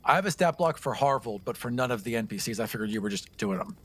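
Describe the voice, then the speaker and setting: A man's voice: mid-range voice, animated, fast talker, fairly bright tone, slightly rough. A man playing a tabletop game, chatting casually in a jokey, self-deprecating and profane way.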